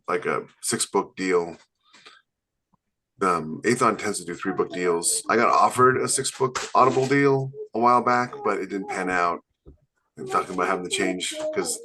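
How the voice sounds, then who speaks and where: Sex: man. Role: podcast co-host. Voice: deep, bright, rambling. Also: slight background noise. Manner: rambling, many fillers and restarts. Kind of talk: casual panel chat.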